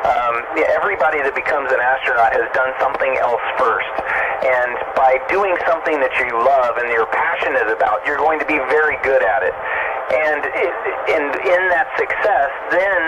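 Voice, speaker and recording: A man's voice: mid-range voice, normal speed. A man explaining things conversationally in unscripted answers as an astronaut, speaking over an amateur radio link. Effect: radio transmission. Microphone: radio transceiver microphone. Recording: muffled, noisy ham radio link.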